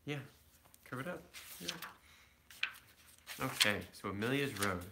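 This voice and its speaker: A man reads a picture book aloud in an echoey room, in a mid-pitched voice. He stumbles slightly now and then and drops into casual explanations, like a father reading to his children at home. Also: animated, vocal fry, heavy background noise. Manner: halting, with small stumbles and asides to children. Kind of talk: read-aloud storytelling with casual asides.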